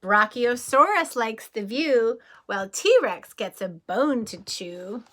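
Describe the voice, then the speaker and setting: Bright-voiced teacher reading a picture book slowly. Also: female voice, a little high, lively intonation. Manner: slow, sing-song rhyming reading with gentle questions to children. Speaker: kindergarten teacher. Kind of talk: picture-book read-aloud for young children.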